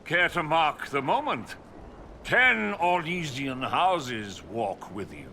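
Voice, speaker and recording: An actor playing a pompous, condescending noble envoy in a video game, speaking theatrically. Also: male voice, fairly high pitch, very animated, slow pace, pushed and bright, slight background noise, full character voice. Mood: grand. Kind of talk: video game dialogue acting.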